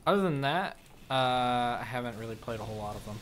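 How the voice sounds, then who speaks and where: Young male streamer, casual gaming chat, mid pitch, faint background hiss. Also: brisk. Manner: relaxed, answers chat questions between plays.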